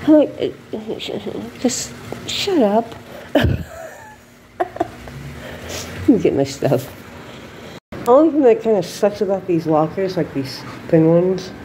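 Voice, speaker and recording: Woman vlogger, stuffy nose from a cold, very slow unhurried talk, loud outdoor noise behind, chatty and rambling. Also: medium pitch, lively intonation. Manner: chatty, laughing, rambling storyteller. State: congested from a cold. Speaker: beauty vlogger. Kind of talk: casual walking vlog chat. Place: outdoors on sidewalks, walking. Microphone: handheld phone camera. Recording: noisy outdoor phone recording.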